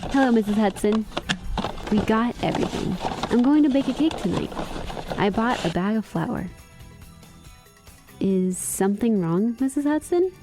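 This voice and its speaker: A woman's voice: a little high, lively intonation, moderate pace, pauses often, over music. A woman acting in a radio drama as a kind young woman who carries an old heartache. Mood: bright, then uneasy.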